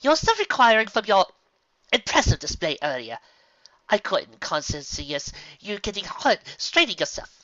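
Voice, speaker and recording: A woman's voice: medium pitch, big pitch swings, normal speed, fairly bright tone, dry recording, pitched above the performer's own voice. A woman voicing a refined, fussy female unicorn with a posh, theatrical manner. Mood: anxious. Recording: dull lo-fi recording.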